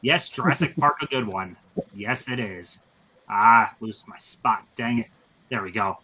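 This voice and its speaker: A man's voice: on the low side, unhurried, pushed and bright. A man in his thirties hosting a casual movie-chat stream, talking eagerly with lots of fillers. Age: 30s. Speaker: stream host.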